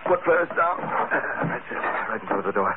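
A man's voice: medium pitch, very animated, moderate pace, close to an everyday voice. A man playing a cool, commanding masked vigilante who speaks tersely in an old radio drama. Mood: cool, urgent. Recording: very noisy, narrow old radio broadcast.

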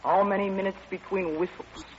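An actress playing a stern, literal-minded Russian comrade in an old radio comedy, speaking in a clipped, deadpan, formal way. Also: female voice, medium pitch, fairly quick, natural voice. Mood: cool, matter-of-fact. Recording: dull, noisy vintage radio recording.

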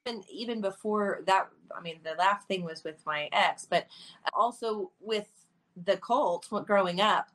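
A woman's voice: mid-range voice, unhurried, a bit bright, low-quality recording. A woman co-hosting a podcast, talking earnestly and breaking into laughter often. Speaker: podcast co-host. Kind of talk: candid conversational podcast talk.

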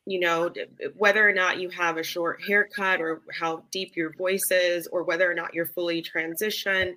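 A woman guest in a casual discussion, explaining her views in a thoughtful, measured way. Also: lowish voice, flat delivery, pushed and bright, poor audio.

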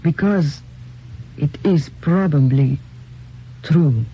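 An actor playing a cryptic, ominous man who speaks in deliberate, knowing pronouncements in a radio drama. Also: fairly high pitch. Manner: deliberate, mysterious. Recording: muffled, noisy old radio drama recording.

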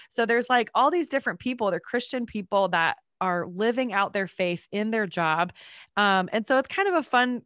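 A woman in her thirties from North Carolina, a guest on a faith podcast, chatting casually while congested, her voice deeper than usual. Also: a bit bright, no echo, studio quality. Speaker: podcast guest, children's ministry worker. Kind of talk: casual interview chat.

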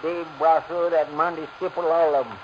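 A man's voice: on the high side, steady tone, normal speed, over a noisy crowd, close to an everyday voice. A man playing a pudgy, wheezing, grumbling roadhouse owner in a 1940s radio drama, flustered and folksy. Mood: fed up. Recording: muffled, very noisy vintage radio recording.

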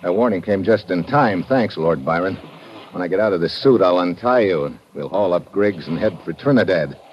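A man's voice: sits low, lively intonation, normal speed, a little hiss or hum. A man playing a tough, terse adventurer hero who narrates his own exploits in a 1950s radio drama. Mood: wry. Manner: hard-boiled, terse. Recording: dull vintage radio recording.

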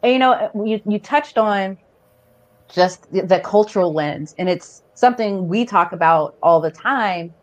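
A woman's voice: mid-range voice, a little slow, muffled recording. Woman podcast host, American accent, middle register, informal conversational interviewer. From born in Barbados, raised in the United States.

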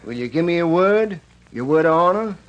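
An earnest, fair-minded frontier prison warden, played by a man in a vintage radio Western. The voice is fairly high for a man, official and deliberate, with dull, narrow old-time sound. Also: animated, close to an everyday voice. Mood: solemn, hopeful. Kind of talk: 1940s-1950s Western radio drama acting.

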